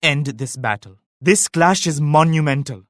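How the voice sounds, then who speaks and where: An actor playing a devout, solemn emperor and military leader in a strategy game, with measured, grave, pious declamation. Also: male voice, fairly high pitch, fairly bright tone, no room sound, studio quality. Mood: solemn, resolute. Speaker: video game character voice actor. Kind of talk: scripted strategy game voice lines.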